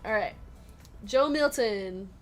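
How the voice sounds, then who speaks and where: A woman's voice: medium pitch, slow pace. Chatty woman hosting a card break. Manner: chatty, laughs often, reads out players and numbers. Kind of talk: live card break, casual chat. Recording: music playing behind.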